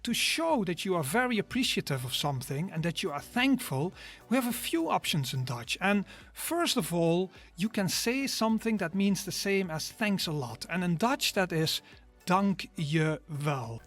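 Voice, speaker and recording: A man teaching an online course, explaining slowly and drawing out each example word. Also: high voice, big pitch swings, fairly bright tone. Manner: slow, careful explanations with drawn-out example words. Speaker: language teacher. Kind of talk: scripted online course lesson.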